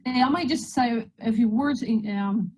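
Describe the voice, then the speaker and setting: A woman's voice: fairly high pitch, normal speed, a little mellow, husky, poor audio. Swedish-accented woman presenting over video call. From Sweden. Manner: friendly, joking, slides through a talk. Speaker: guest presenter. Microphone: video call microphone.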